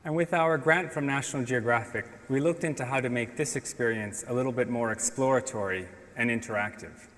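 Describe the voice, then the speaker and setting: A man's voice: mid-range voice, fairly quick, few pauses, fairly bright tone. Middle-aged man presenting in a reverberant hall. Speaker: conference speaker. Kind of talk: prepared stage presentation. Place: large indoor auditorium stage. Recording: reverberant hall, stage talk.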